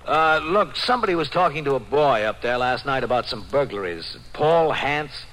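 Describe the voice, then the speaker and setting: A man with an American accent acting as a patient, authoritative police captain in an old radio drama, measured and businesslike. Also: mid-range voice, animated, fairly bright tone, lightly acted. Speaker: actor and first-person narrator. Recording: faintly noisy old radio broadcast.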